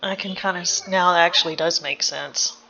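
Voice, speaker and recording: A woman giving casual, analytical game reaction commentary, hesitant and thinking out loud. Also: on the low side, brisk, lo-fi sound, soft background music. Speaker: reaction video host.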